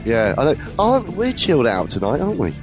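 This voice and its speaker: A man hosting an internet radio show, husky and throaty this week, joking in laid-back banter. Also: medium pitch, wildly swooping pitch, fast talker, over music. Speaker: radio host. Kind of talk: casual radio chat.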